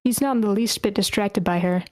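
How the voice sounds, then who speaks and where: A woman narrates a screenplay at a table read, delivering stage directions in short phrases. Her pitch is mid-range and the sound is close and dry, with very little room. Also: a little fast, no room sound.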